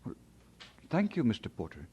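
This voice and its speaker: An actor playing an earnest, devout young Scottish immigrant bound for seminary, halting when moved and firm about his faith, in a radio drama. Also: medium pitch, wildly swooping pitch, highs cut off, a little hiss or hum. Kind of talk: mid-century radio drama acting.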